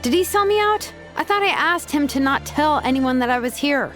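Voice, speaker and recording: Game casting: dodgy, offhand young craftswoman, high-pitched with swinging intonation, quick to get flustered. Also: lively intonation, a bit bright, soft background music. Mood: suspicious. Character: evasive, casual young craftswoman, easily flustered. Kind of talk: video game voice acting.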